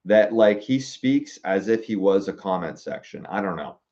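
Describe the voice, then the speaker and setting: Low-voiced man musing through viewer comments. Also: animated, darkish tone, lo-fi sound. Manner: musing aloud, reading comments, halting with fillers. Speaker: streamer reading viewer suggestions. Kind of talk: casual livestream chat.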